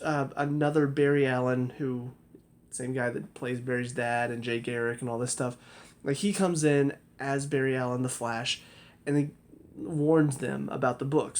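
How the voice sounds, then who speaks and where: A man giving a casual spoken review of a TV episode, critical and rambling, often restarting his sentences. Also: mid-range voice.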